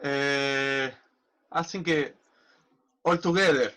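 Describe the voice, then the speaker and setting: A man, a football manager and former player, chatting as a podcast guest in halting non-native English with frequent restarts. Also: medium pitch, steady tone, a bit bright. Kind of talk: casual interview chat.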